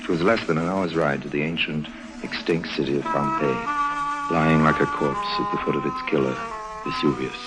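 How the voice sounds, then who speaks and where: Low-voiced suave radio schemer. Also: male voice, fairly even intonation, normal speed, low-quality recording. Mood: sly, confiding. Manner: urbane, smoothly insinuating. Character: suave middle-aged scheming swindler, smooth charmer and narrator. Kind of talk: 1950s radio drama acting, wry confidential narration. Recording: vintage radio recording with faint music.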